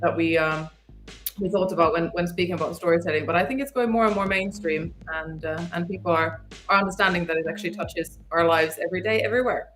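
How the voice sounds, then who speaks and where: Lower-pitched woman in casual chat. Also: fast talker, fairly bright tone, faint music behind. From Finland.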